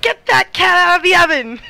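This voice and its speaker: A woman's voice: very high voice, expressive, normal speed, creaky, very noisy. A woman co-hosting a radio show in casual chat, playfully chipping in with quips.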